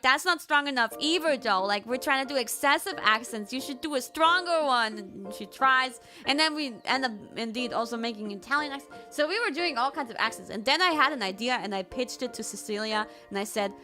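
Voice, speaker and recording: A woman streamer telling stories in casual chat, animated, jumping to squealed quotes. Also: sits high, brisk, few pauses, soft background music. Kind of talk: casual chat, recapping a trip.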